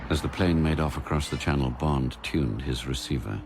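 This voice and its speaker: An actor as the composed narrator of a radio spy thriller, reading scripted narration in a measured, precise way. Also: male voice, extremely low pitch, creaky, noisy background.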